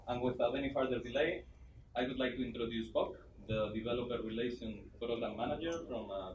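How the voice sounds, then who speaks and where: A man welcomes everyone to a developer conference, thanking sponsors and volunteers with plenty of ums and uhs. His voice is picked up from a distance in a large hall, with noise underneath. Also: mid-range voice. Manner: reads a scripted welcome, then thanks people with many fillers. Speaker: conference organizer. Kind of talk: opening welcome speech.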